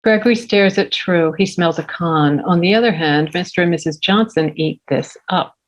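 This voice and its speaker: A woman reading stage directions aloud at a table read. Her voice is slightly low, steady and plain. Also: moderate pace.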